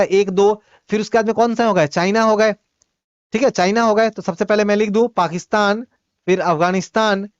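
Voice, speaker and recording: A man whose first language is Bhojpuri, teaching exam-prep geography quiz questions in Hindi. He reads each question out slowly, then explains the answer with quick, repetitive asides. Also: sits high, no room sound, dull audio.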